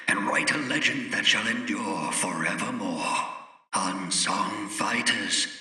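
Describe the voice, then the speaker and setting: An actor playing a solemn card tournament herald, making grandiose, ceremonial proclamations. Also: male voice, fairly low pitch, sharp tone, reverberant, distant mic, full character voice, poor audio. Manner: grandiose, deliberate.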